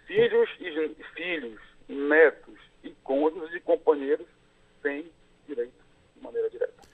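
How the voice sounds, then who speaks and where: A Brazilian lawyer answers interview questions in a measured, step-by-step way, pausing on fillers as he goes. His voice sits a little high and sounds husky. It all comes through a thin, tinny phone line.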